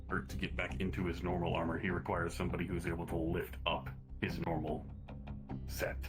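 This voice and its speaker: Guy with a low voice explaining stuff casually in a roleplay game, music playing behind. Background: background music.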